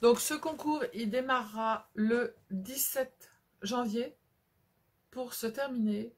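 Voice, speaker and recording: A woman's voice: on the high side, slow, breathy edge. A middle-aged woman, chatting casually and hesitantly as she hosts a craft vlog, rambling and repeating herself. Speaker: craft video host. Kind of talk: casual unscripted vlog chat.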